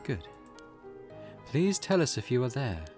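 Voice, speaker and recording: A composed teenage girl from an occult visual novel gives instructions, read out by a man. The delivery is lively in pitch. Music is clearly heard behind the lines. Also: a little slow. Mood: calm instructing. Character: composed teenage schoolgirl leading an occult ritual. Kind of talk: video game dialogue read aloud.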